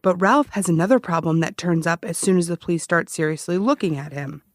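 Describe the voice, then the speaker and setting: A woman's voice: on the low side, brisk, no room sound, studio quality, lightly acted. A woman playing an obsessive, defensive ex-cop who hosts a true-crime podcast, telling it conversationally with wry emphasis. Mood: wry, intrigued. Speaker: true-crime podcast host, former police officer. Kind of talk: conversational scripted narration in an audio drama. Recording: music behind the voice. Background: music.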